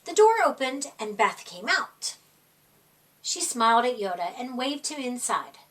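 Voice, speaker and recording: A woman reading a children's picture book aloud in an expressive, dramatic storytime style, drawing out words for young listeners. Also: fairly high pitch, measured pace, distant mic.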